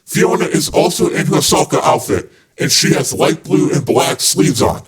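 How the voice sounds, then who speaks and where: A man's voice: a little high, animated, moderate pace, noisy background. A man hosting a fan podcast, reading scripted narration. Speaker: podcast host.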